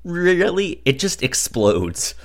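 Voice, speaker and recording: A man with a stutter and a halting delivery, casually discussing films as a podcast co-host. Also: sits high, sing-song. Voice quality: stutter, repeats first sounds of words. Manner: halting, hesitant delivery with frequent restarts.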